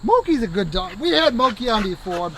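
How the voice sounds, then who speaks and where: A man with a notably high voice chats casually and teasingly. He is outdoors, with faint background noise behind him. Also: sing-song, a little fast. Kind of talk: casual chat.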